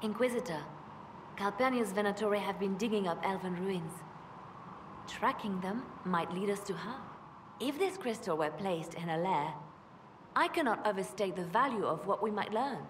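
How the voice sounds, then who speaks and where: A woman playing a cool, calculating spymaster in a fantasy game, measured and intent. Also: mid-range voice, animated, close to an everyday voice. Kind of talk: fantasy video game acting. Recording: noisy echoing hall.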